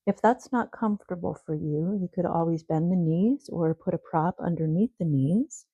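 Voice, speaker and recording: A woman leading a guided sleep meditation, giving gentle, soothing instructions. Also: fairly low pitch, moderate pace, husky, dry recording, muffled recording.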